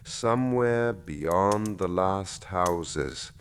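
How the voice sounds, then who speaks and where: Guy reading a sleep story, super slow and gentle, lowish voice that still moves up and down. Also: expressive, a little hiss or hum. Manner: gentle, measured, with deliberate pauses. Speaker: bedtime story narrator. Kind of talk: slow soothing scripted narration.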